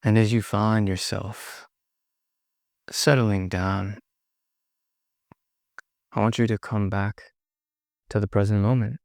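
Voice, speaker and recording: Low, slow, creaky male meditation guide. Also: little pitch movement, a little mellow, no echo, studio quality. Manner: slow, pausing, soothing delivery.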